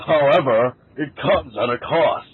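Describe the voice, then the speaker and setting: A man's voice: mid-range voice, measured pace, close to an everyday voice. A man playing a solemn, theatrical female fortune teller, with a portentous, dramatic delivery, in an amateur fan fiction reading. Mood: grave, prophetic. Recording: thin phone-like sound with background noise.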